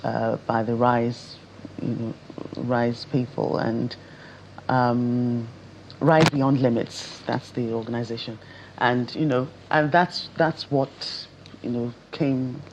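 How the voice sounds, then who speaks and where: A woman's voice: rumbling low, fairly even intonation, slow pace, noisy background. Chatty woman co-host. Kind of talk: casual television chat.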